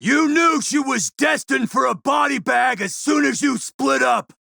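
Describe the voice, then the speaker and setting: Gravelly shouting warlord villain. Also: male voice, extremely high pitch, a little slow, dry recording, studio quality, exaggerated voice. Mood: savage, taunting. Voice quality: gravelly, rasping. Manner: forceful, sneering taunts. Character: brutal, taunting middle-aged warlord in combat. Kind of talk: video game combat barks.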